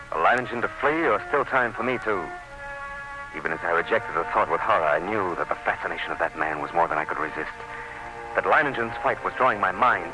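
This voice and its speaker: A man's voice: on the low side, close to an everyday voice, low-quality recording. A man acting as an anxious, fascinated colonial commissioner in a radio drama, with grave, suspenseful and literary delivery. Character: anxious, fascinated commissioner recounting a jungle ordeal. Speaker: actor and narrator in a radio drama. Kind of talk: dramatic first-person radio narration and dialogue. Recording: radio drama with music behind.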